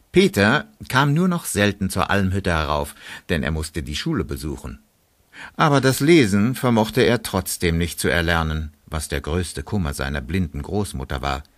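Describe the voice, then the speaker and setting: Low, animated male storybook narrator. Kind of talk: scripted storybook narration for a radio play.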